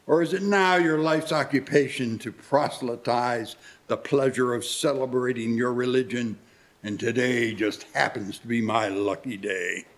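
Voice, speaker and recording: A cold-hearted old miser played by a man in a live radio play. He is gruff and curt, with heavy sarcasm and open scorn, in a mid-pitched male voice. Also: normal speed, lightly acted. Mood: sarcastic, threatening. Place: live community theatre performance.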